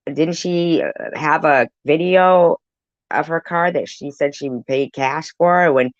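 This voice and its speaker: Low-voiced, defensive woman. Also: slow, no room sound. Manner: defensive, contradicting. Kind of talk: heated informal argument. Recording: muffled lo-fi audio.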